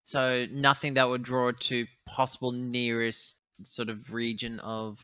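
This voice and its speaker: Bright-voiced man playing a tabletop game. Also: medium pitch, completely flat delivery, a little slow, smooth, dry recording, dull audio. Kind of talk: casual in-game chat, talking through actions.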